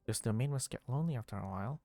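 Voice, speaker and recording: A man's voice: medium pitch, racing, mellow, soft background music. A man streaming a video game, giving casual commentary and thinking aloud as he plays.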